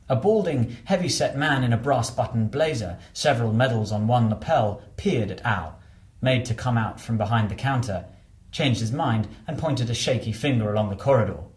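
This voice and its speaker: A man's voice: fairly low pitch, animated, moderate pace. Male audiobook narrator, slightly roomy sound. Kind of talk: scripted narration of a novel.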